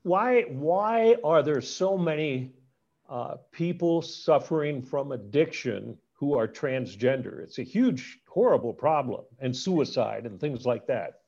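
A man's voice: medium pitch, expressive, slow, a little mellow. Blunt male talk show host, dull sound. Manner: blunt, direct questioning. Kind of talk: interview questions.

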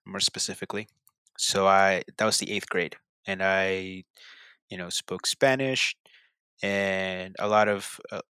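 A man's voice: sits low, bright, forceful tone, no room sound. A young man from El Salvador, a guest interpreter, talking casually and hesitantly with many restarts. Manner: hesitant, repeats words. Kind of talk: casual conversation.

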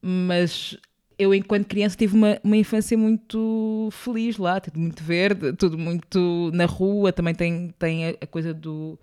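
A woman's voice: on the low side, fairly even intonation, unhurried, warm tone, smooth. A young woman from Angola, speaking as an interview guest, thoughtful, often circling back and adding small tag questions. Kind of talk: reflective interview conversation.

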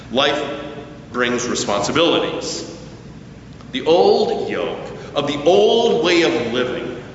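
Pastor guy preaching slow, voice goes up and down a lot, big echoey church, mic kinda far. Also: mid-range voice, a little hiss or hum. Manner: slow, deliberate preaching with lively rises and falls. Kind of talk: sermon. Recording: echoey church hall, distant mic, dull sound.

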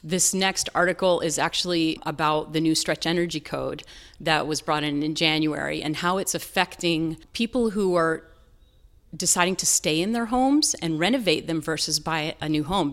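Lower-pitched American woman in an interview. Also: moderate pace. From North Carolina, southern United States. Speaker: interview guest, real estate and design business owner. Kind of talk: conversational interview answers.

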